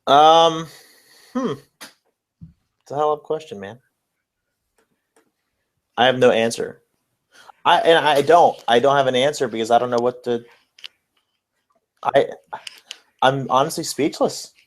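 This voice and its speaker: A man's voice: medium pitch, a little slow, long pauses. Casual, slangy male podcast co-host. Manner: informal, profane, slangy. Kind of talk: casual wrestling discussion.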